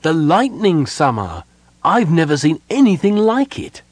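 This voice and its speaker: Guy doing a reckless young elf prince, excitable, exclaims in a way higher pitch, audiobook. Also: a little slow, no echo. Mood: ecstatic. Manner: exclaiming, impulsive.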